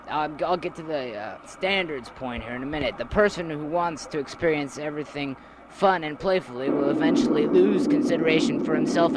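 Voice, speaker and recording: A man playing an earnest, philosophical afterlife traveler in an amateur audio drama, lecturing and halting when interrupted. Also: fairly high pitch, natural voice. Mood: flustered, insistent. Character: Bruticus, earnest philosophical male traveler back from afterlife journey. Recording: very noisy amateur 1990s audio recording.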